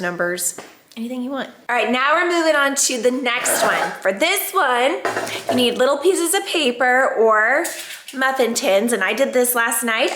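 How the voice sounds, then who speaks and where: A young woman with a hoarse voice, chatting casually about homeschooling in a home vlog. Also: a little high, a bit bright, a little hiss or hum. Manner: chatty, upbeat, praising her child. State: hoarse, lost her voice. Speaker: homeschooling mom, channel host. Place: family home, indoors.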